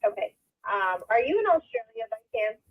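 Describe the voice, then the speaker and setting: Woman taking calls at a police desk, fairly high voice, bouncy intonation, businesslike. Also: big pitch swings, moderate pace, narrow, tinny audio. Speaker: police department phone operator. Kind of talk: phone conversation.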